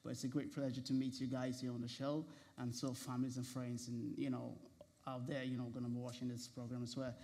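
A man's voice: mid-range voice, level tone, brisk, few pauses, warm tone. A man hosting a casual TV studio interview, laughing often and restarting his questions. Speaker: talk show host. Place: TV studio set with red sofas.

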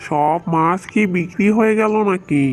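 A man's voice: high-pitched, normal speed, soft background music, natural voice. A man voicing a pushy village neighbour in a cartoon, wheedling and insistent. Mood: pleading. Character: pushy, pleading male village neighbour wanting fish. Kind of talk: cartoon dub.

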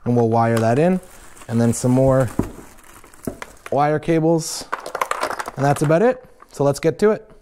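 A young man casually walking viewers through installing a snowmobile accessory in a garage workshop, relaxed and plain-spoken with many fillers. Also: medium pitch, measured pace, long pauses, background noise. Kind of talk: casual step-by-step install walkthrough.

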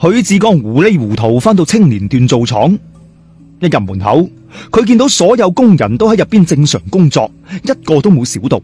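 Lively male storyteller over music. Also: a little high, moderate pace, slightly rough. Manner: colloquial, suspenseful storytelling with interjections. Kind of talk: dramatic narration of a ghost story.

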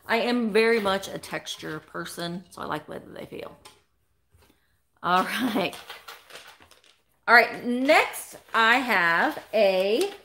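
A woman's voice: medium pitch, expressive, slow, long pauses, sharp tone, slight background noise. A woman in her forties hosting a live vintage sale from a small room, chatting animatedly at her webcam and greeting viewers by name. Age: 40s. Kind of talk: chatty live selling stream. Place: small indoor room full of vintage decor. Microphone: webcam at desk, close framing.

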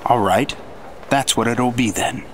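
A man's voice: medium pitch, unhurried, background noise, lightly acted. A man playing an earnest, literal-minded federal agent sidekick in a dutiful radio drama style.

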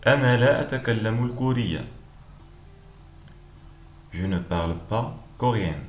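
A man teaching language, reading each short phrase with clear, deliberate pronunciation and repeating it several times. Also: mid-range voice, animated, faint music behind. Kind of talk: slow repeated phrase drills. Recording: echoey room with faint noise.

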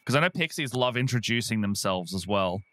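A bright, very animated man talks casually as a player in a tabletop game. He is playful and informal, recorded dry and close, with faint guitar behind. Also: lowish voice, brisk, dry recording. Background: faint guitar music.

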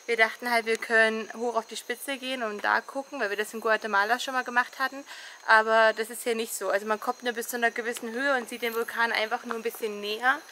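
A woman's voice: fairly high pitch, fairly even intonation, fast, bright, forceful tone, slight background noise, low-quality recording. A young woman on a rainforest walk, casually adding a travel tip into her partner's handheld camera. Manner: friendly, adding tips. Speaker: travel vlogger. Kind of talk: casual vlog chat. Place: outdoors in a rainforest park. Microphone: partner's camera at arm's length.

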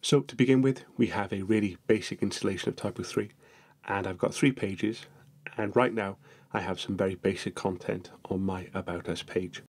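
Male tutorial narrator, lowish gravelly voice, a bit husky, steady step-by-step delivery. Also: normal speed.

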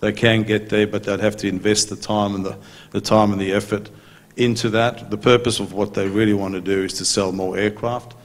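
A middle-aged man on a conference panel, speaking as a maintenance company executive in a measured, businesslike way into a handheld mic. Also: fairly low pitch, flat delivery. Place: large conference hall stage. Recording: long hall reverb.

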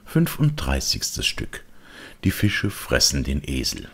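A man narrating a children's storybook as an expressive audiobook reader. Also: rumbling low, slow pace, vocal fry, slightly rough.

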